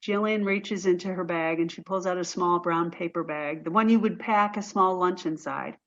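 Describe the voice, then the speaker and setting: Lowish-voiced woman narrating stage directions. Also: moderate pace, fairly bright tone, dull audio. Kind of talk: scripted narration.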